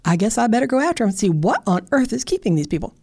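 A woman's voice: a little high, sing-song, very fast, a little mellow, husky, dry recording, exaggerated voice. A woman voicing an impatient, fussy old grandmother in a told folktale.

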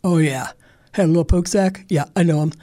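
A woman doing a gruff, greedy bear. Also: lowish voice, brisk, warm tone, close to an everyday voice. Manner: gruff, smug, chuckling. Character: huge greedy male bear who eats everyone.

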